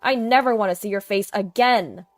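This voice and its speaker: A woman's voice: mid-range voice, moderate pace, dry recording, faint music behind, natural voice. A woman voicing an indignant, fed-up young grandson in a narrated story. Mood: angry. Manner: indignant, tense.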